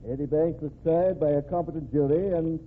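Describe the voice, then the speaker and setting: An actor playing a pompous, defensive state governor in an old-time radio drama, given to speechifying. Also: male voice, a little high, steady tone, brisk, lightly acted. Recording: narrow, tinny vintage radio recording.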